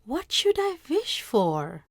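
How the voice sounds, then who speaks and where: Humble storybook fisherman, henpecked and earnest, read by a woman, lively intonation, dry close sound. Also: sits high, slow, no room sound, pitched above the performer's own voice, heavily acted. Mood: wondering, eager. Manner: earnest, plain-spoken. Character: humble, simple, henpecked fisherman, adult man. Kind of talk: read-aloud storybook character voice.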